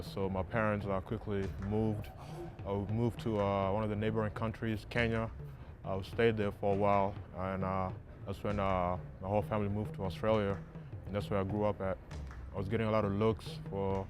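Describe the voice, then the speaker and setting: Low, flat-voiced young man. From South Sudan. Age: young adult. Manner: halting, many fillers. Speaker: college basketball player. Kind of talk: interview answers. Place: dark empty arena. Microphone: lapel.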